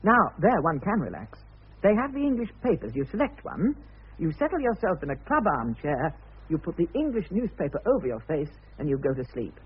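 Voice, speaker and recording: A man's voice: fairly high pitch, very animated, a little fast, heavily acted. An actor playing a naive new millionaire in a radio comedy, earnest and hesitant, bumbling as he tries to please. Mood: weary, put-upon. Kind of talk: radio comedy drama acting. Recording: muffled, husky-sounding radio drama recording.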